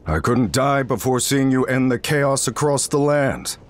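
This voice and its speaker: An actor playing a fierce, loyal warrior general in a video game, speaking in an earnest, martial manner. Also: male voice, mid-range voice, animated, unhurried, a little hiss or hum, lightly acted. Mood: grateful resolve. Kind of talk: dramatic video game cutscene acting. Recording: background music under dialogue.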